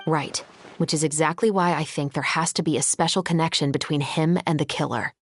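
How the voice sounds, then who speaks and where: A woman playing a resolute, composed musketeer captain in a video game, speaking in a measured, authoritative manner. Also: sits low, steady tone, slight background noise, natural voice. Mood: composed, firm.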